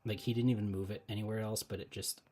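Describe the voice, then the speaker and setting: A man talking casually on a live stream while he draws, thinking out loud, laughing often and chatting with viewers. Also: lowish voice, flat delivery, fast talker, slight background noise.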